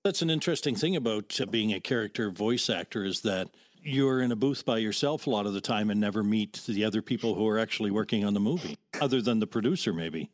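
A man's voice: lowish voice, animated, fast talker. A man hosting an interview, asking questions and making comments in a conversational style. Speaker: podcast interview host.

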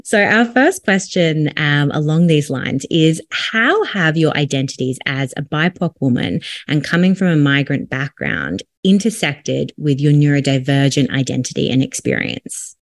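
A woman's voice: low-pitched, big pitch swings, moderate pace, creaky, few pauses. A woman hosting a podcast, asking earnest, open questions with some fillers. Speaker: podcast host. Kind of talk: podcast interviewing.

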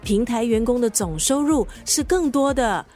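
A woman's voice: fairly high pitch, lively intonation, normal speed, darkish tone, soft background music, close to an everyday voice. An actress playing a blunt, playful friend in a radio drama, theatrical and direct in her delivery. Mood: advising. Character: blunt, breezy, playful woman friend who jokes grandly.

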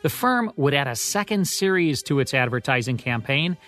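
American man hosting, higher-than-deep voice over music. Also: lively intonation, normal speed, a bit bright. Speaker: podcast co-host. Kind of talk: scripted narration about radio history.

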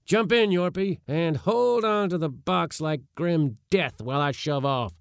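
A man's voice: mid-range voice, measured pace, creaky, pushed and bright, no room sound, clean studio sound, pitched above the performer's own voice, full character voice. A man voicing an impatient, bossy elderly uncle in a storybook reading, with a blustering, pompous, exclamatory manner. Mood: impatient, roaring.

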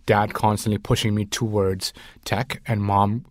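A man's voice: lowish voice, little pitch movement, measured pace, no echo, studio quality. A man in his forties from Sri Lanka, a food writer, chatting as an interview guest, with frequent ums and uhs. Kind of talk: casual interview conversation.

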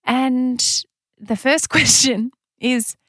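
A young Australian woman chatting casually as a podcast co-host, animated and teasing. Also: sits high, drawn-out words, no echo, studio quality. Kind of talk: casual chat.

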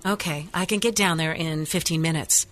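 An actress playing an earnest, caring news reporter in an audio drama. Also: female voice, deep voice, normal speed, fairly bright tone, slight background noise. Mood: worried.

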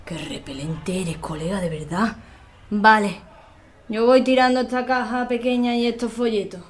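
An actress playing a sassy young student, casual, teasing and enthusiastic in an audio drama. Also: female voice, fairly high pitch, fairly even intonation, normal speed, slight background noise, close to an everyday voice. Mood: sarcastic. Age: young adult. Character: sassy young nursing student, César's sister.

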